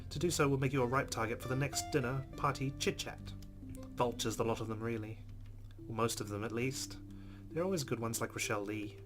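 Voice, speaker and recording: A man hosting a let's play, reading the game's narration aloud expressively with quieter casual asides. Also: medium pitch, brisk. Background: guitar game music.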